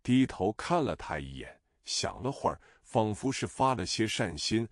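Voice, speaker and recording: A man's voice: fairly low pitch, sing-song, normal speed, dry recording. A man reading a novel aloud as narrator, expressively voicing the characters' lines. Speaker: audiobook narrator.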